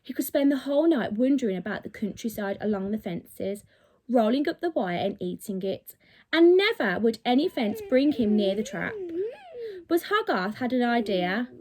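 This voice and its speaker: A woman's voice: on the high side, big pitch swings, moderate pace, a little mellow. A woman reading a children's story expressively, with dramatic, emphatic delivery, like a teacher reading to pupils.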